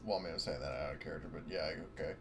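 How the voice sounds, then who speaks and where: A man's voice: very deep voice, rapid-fire, vocal fry, slight background noise. A man playing a tabletop game over a voice call, rambling with many fillers and bursts of laughter. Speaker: player.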